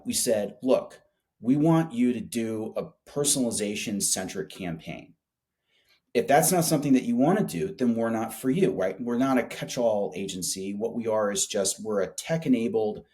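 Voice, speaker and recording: Low-pitched man, colloquial and emphatic. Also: a little mellow. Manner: colloquial, salty, emphatic storytelling. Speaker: startup founder being interviewed. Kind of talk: casual business interview chat.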